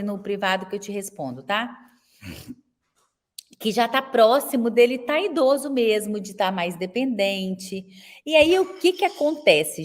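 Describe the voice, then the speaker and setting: A woman in her forties with a Brazilian accent, teaching a live online class for elder caregivers in a chatty way and often checking in with her viewers. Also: medium pitch. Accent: Brazilian Portuguese. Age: 40s. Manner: chatty, tags nearly every sentence with a question to viewers.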